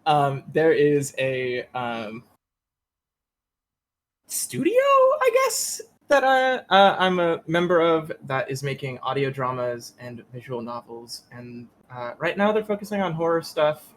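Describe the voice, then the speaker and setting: A man who works as a voice actor, chatting casually and hesitantly on a panel, rambling a little with informal language. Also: medium pitch. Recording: noisy convention stream audio.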